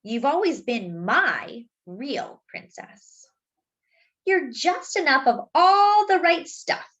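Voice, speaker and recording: A woman voicing a doting storybook father with a tender, encouraging, slow delivery. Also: high-pitched, big pitch swings, fairly bright tone, highs cut off, exaggerated voice.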